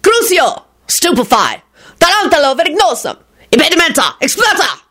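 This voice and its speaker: A woman's voice: extremely low pitch, very animated, moderate pace, creaky, husky, voice pushed down, exaggerated voice. A woman voicing a cocky, defiant, foul-mouthed male wizard in an audiobook. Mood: battle yelling. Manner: mock-innocent, then brash yelling.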